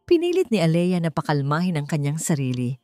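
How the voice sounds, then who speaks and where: Female audiobook narrator: lowish, soft dark tone, measured suspense, faint music bed. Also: wildly swooping pitch, no echo. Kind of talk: scripted dramatic story narration.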